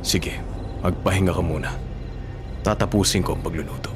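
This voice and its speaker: A man acting a middle-aged Filipino father in a radio drama. He is gentle yet guarded, with a very low voice. Music plays clearly beneath him. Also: steady tone, fairly quick, lightly acted. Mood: gentle, fatherly. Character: secretive aswang father, gentle to his daughter. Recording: music bed under the dialogue.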